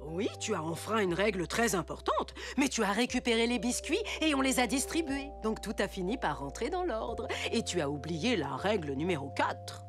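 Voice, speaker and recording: A woman's voice: medium pitch, very animated, moderate pace, hardly any pauses, close to an everyday voice. A woman playing a kindly, wise, playful grandmother in a French cartoon dub, with music behind her. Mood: gently reproving.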